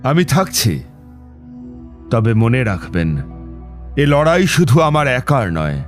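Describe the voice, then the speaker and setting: A man playing a grave tantric priest who narrates his own ghost encounter in a dramatic, suspenseful horror-story style with deliberate pauses. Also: mid-range voice, big pitch swings. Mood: grave, steady. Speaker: narrator and lead character. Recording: long reverb, music bed behind. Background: clear background music.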